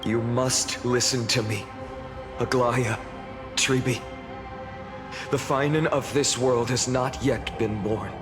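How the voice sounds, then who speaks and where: A man playing a solemn, resolute swordsman in a fantasy game, speaking in a grave, formal, measured way. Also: mid-range voice, fairly even intonation, lightly acted. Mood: solemn, urgent. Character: solemn, resolute male swordsman from another era. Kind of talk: scripted fantasy game drama and narration. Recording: long reverb, clear music behind.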